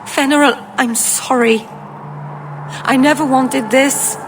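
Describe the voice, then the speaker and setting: High-voiced, anguished young elven woman. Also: steady tone. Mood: grieving. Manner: pleading, emotional, halting. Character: young elven female blood mage, earnest, anguished. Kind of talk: dramatic video game voice acting. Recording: clear background ambient noise.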